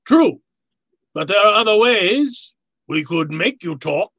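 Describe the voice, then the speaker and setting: An actor playing a jovial, cunning older crime boss in a radio drama, speaking with florid, formal politeness. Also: male voice, high voice, big pitch swings, slow pace, fairly bright tone, no echo, clean studio sound, full character voice. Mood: wary, menacing. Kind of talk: old-time radio drama acting.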